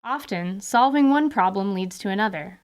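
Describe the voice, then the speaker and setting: Woman narrating with wry calm. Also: mid-range voice, moderate pace, clean tone. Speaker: narrator and game master. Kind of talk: scripted story narration.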